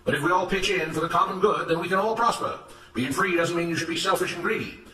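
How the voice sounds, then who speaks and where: Man putting on a loud, high-pitched oratorical plantation boss. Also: fast, reverberant, far from the mic, rough recording. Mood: earnest, preachy. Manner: declamatory, oratorical, emphatic. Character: smooth-talking, self-righteous plantation overseer making rousing speeches. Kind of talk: dramatised storybook narration.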